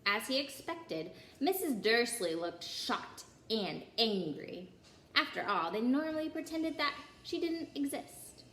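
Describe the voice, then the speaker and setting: A woman reading a children's story aloud in an expressive way, with occasional small stumbles over the text. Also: fairly high pitch, measured pace. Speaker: story reader. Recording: roomy echo, clear background noise.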